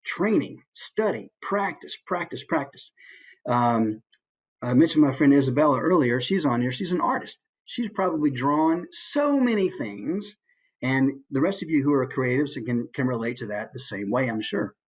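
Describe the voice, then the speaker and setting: A man's voice: medium pitch, animated, normal speed, rough recording. A man who works as a voice actor, giving a casual, conversational instructional presentation with easygoing asides.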